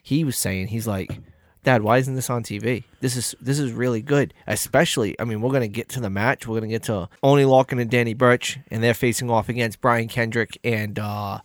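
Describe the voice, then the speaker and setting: A man in his thirties co-hosting a podcast in casual chat, with short agreeing replies and animated opinions. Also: medium pitch, fairly quick, no room sound, studio quality. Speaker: podcast co-host.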